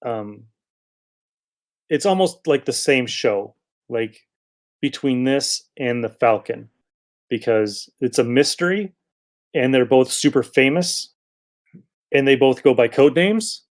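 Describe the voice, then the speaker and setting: A man's voice: medium pitch, slow, pauses often. A man hosting a podcast in casual chat, rambling a little as he thinks aloud. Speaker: podcast host.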